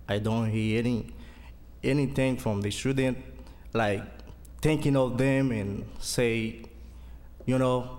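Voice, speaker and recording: A man from Haiti with a Haitian accent giving a church testimony as a student, in an earnest, halting way as he searches for words. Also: mid-range voice, darkish tone. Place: church hall. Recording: long hall reverb.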